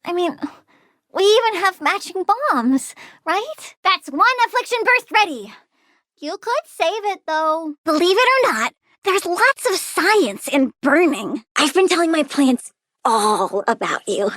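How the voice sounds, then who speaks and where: A woman's voice: extremely high pitch, measured pace, dry recording, exaggerated voice. An actress voicing a childlike, eccentric plant-girl supervillain in a video game, cutesy and playful, with a gleefully menacing streak. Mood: wistful. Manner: cutesy, playful, swinging from chirpy excitement to sulky menace. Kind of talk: video game character voice lines.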